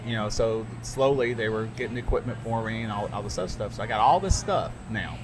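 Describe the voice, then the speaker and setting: A man's voice: lowish voice, fast talker, over music, low-quality recording. A man hosting a casual podcast chat, telling stories candidly and emphatically, with plenty of restarts. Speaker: podcast host and radio broadcaster. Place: radio studio.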